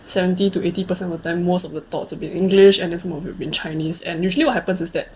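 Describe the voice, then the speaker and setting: A woman's voice: on the low side, steady tone, rapid-fire, a little mellow, a little hiss or hum. A woman in her late twenties with a Singaporean accent, co-hosting a casual conversational show. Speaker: co-host of a conversational video series. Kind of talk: casual chat.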